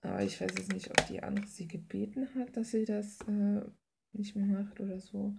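A woman's voice: mid-range voice, fairly even intonation, moderate pace, gravelly, background noise. A woman chatting casually and informally as she draws, rambling in slangy language and thinking aloud. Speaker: artist hosting a drawing video. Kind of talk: casual rambling chat while drawing. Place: indoors at a drawing desk.

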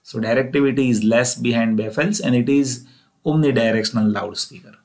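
A man with an Indian accent narrates a technical lesson in a medium-pitched voice. He explains step by step, repeating key points in a plainly didactic way, as a lecturer would over slides. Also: a little fast, darkish tone. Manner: didactic, repetitive step-by-step phrasing, often opening with "so". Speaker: engineering lecturer.

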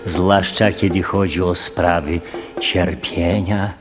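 An actor playing an earnest, deferential village spokesman in a radio play, speaking deliberately and ceremoniously. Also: low-pitched, steady tone, music in the background. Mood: deferential, probing. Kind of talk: radio drama acting.

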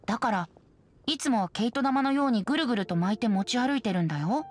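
A woman's voice: on the high side, animated, fast talker, faint music behind. A woman voicing a playful, whimsical young male bard in a video game, with a light, boyish voice.

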